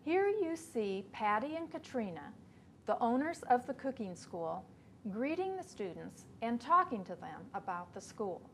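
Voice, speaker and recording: A woman in her fifties presenting an instructional webcast for teachers, reading a measured script in a small studio. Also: a little high, big pitch swings, a little hiss or hum. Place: small studio before a backdrop.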